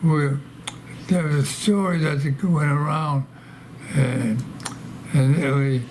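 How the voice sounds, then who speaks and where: A man's voice: a little high, fairly even intonation, slow pace, a little mellow, slight background noise. A man in his nineties, born in Poland, answering questions in an oral history interview, often repeating words and restarting. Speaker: veteran interviewee. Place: library interview room.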